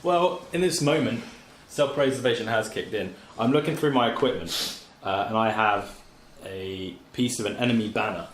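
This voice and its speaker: A man's voice: lowish voice, moderate pace. A man chatting casually as a player in a tabletop role-playing game, with dry, understated humour. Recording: echoey room, faint background noise.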